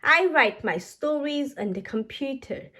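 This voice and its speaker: A woman voicing a warm, encouraging mother pig in a children's storybook, patient and supportive. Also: high-pitched, expressive, a little slow, heavily acted.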